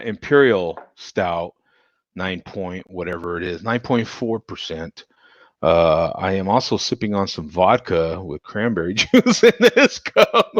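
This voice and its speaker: Low-voiced, lively tipsy beer host. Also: male voice, dry recording, highs cut off. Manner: rambling, laughs often, talks to chat viewers. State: tipsy from drinking. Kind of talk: casual live-stream chat.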